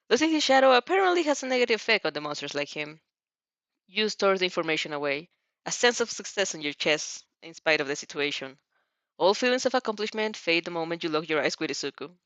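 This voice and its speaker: A woman's voice: on the low side, fairly bright tone, dry recording. Woman reading fanfiction, dull close recording. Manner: stumbles and rereads phrases. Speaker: fanfiction audiobook reader. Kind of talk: expressive amateur story reading.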